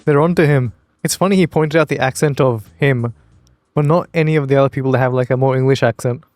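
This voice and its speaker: A man's voice: medium pitch, quick pace, a little mellow, soft background music. A man hosting a reaction video, commenting with wry, blunt amusement. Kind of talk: casual commentary over a video.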